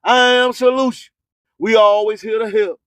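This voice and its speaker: A man's voice: sits high, steady tone, normal speed, fairly bright tone, clear, resonant tone, dry recording. A man hosting a radio show, delivering an emphatic, preachy motivational monologue full of streetwise slang and repeated rhyming slogans. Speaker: radio host.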